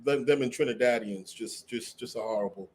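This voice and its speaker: A man's voice: medium pitch, a little fast, darkish tone. A man co-hosting a casual chat, opinionated and swearing often. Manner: opinionated, profane, laughing.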